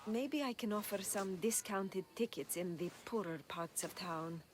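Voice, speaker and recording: An actress playing a dry, practical theatre worker in a video game, wry and understated. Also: female voice, medium pitch, a little slow, a little hiss or hum, lightly acted. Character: dry, practical woman helping run a theatre troupe. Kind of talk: video game dialogue acting.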